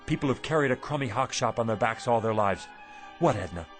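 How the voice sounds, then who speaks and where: An actor playing a poor, desperate middle-aged shopkeeper in a radio drama, with intense, emphatic delivery. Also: male voice, mid-range voice, animated, a little fast, faint music behind, natural voice. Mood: frustrated.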